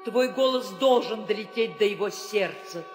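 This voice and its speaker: A woman's voice: medium pitch, natural voice. An actress playing a grieving, commanding queen and mother, declaiming solemnly in an old theatrical audio drama. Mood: anguished pleading. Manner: declamatory, drawn-out. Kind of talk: 1960s Soviet theatrical audio drama acting. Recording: old reverberant studio recording with music.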